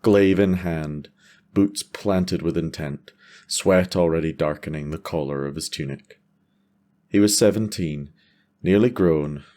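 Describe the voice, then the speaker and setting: A man's voice: low voice, darkish tone. A man delivering scripted, cinematic narration for a fantasy audio drama.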